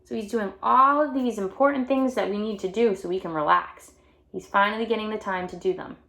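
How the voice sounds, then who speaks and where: A woman's voice: mid-range voice, brisk, vocal fry, fairly bright tone, low-quality recording. Female read-aloud teacher, mid-range voice, explaining the story to little kids in simple, repeated phrases. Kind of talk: explaining a picture book to young children.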